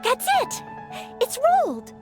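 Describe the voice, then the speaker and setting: An actress playing an earnest, studious, polite young maid-knight in a video game, speaking with careful courtesy. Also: female voice, very high-pitched, huge pitch swings, takes it slowly, music in the background, heavily acted. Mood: triumphant. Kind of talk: video game character acting.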